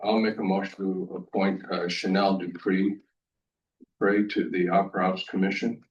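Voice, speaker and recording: A man's voice: low-pitched, little pitch movement, normal speed, muffled recording, slight background noise. A man on a town board making motions and asking informal questions at a public meeting. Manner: informal, asks questions, self-deprecating. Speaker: town selectman. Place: meeting room. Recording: voice from far off the mic.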